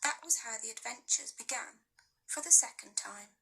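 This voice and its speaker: Breathy bright-voiced woman reading aloud. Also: fairly high pitch, normal speed, rough recording. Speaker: teacher. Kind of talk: reading a children's novel aloud.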